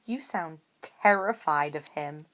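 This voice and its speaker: A woman's voice: slow pace, a bit bright. A woman voicing a nosy, slightly mocking schoolgirl in a fantasy story. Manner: high, slightly mocking delivery.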